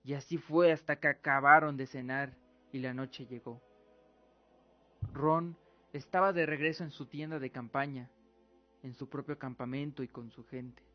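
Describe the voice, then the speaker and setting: A man's voice: mid-range voice, fairly even intonation, moderate pace. A man giving dramatic scripted narration as the narrator of an audio drama. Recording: dull lo-fi recording with music. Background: music.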